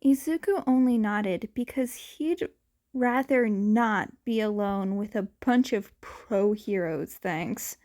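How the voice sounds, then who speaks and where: Slow-paced woman reading a story. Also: mid-range voice, a little mellow. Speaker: amateur audiobook narrator. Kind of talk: expressive scripted fan fiction narration.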